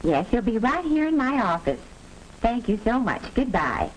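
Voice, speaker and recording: An actress playing a brisk, caring school nurse in a 1940s educational film, gently directive and polite, on an old soundtrack. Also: female voice, fairly high pitch, sing-song. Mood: polite, businesslike. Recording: crackly vintage film soundtrack.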